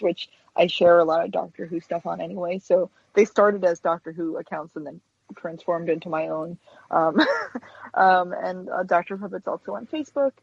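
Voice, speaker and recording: Husky, creaky woman on a muffled line. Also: mid-range voice, no echo. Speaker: guest, stop-motion animator. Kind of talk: casual interview chat.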